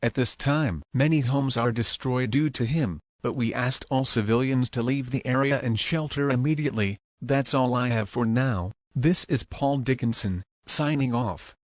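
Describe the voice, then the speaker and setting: A man's voice: mid-range voice, normal speed, few pauses, dry recording, lightly acted. Man acting as formal TV news anchor, unflappable, scripted bulletin read, close dry studio-style sound.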